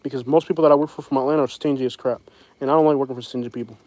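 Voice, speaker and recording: A man's voice: medium pitch, steady tone, racing, a little mellow. A man with a Southern US accent chatting casually on a livestream, rambling and frank, with many fillers and restarts. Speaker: vlogger. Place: home in Alabama.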